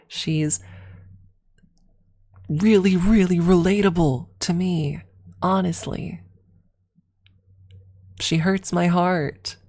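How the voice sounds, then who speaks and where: Low, creaky-voiced woman chatting casually. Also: darkish tone. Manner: gushing, hyperbolic fan talk. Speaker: streamer answering viewer chat. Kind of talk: casual rambling livestream chat with ASMR triggers.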